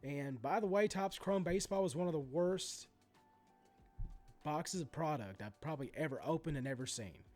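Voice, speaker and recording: A man's voice: fairly high pitch, animated, moderate pace, a little mellow, faint music behind. A middle-aged man with a sore throat and stuffy nose, ranting indignantly as host of a sports card channel. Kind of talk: ranting commentary. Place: home room.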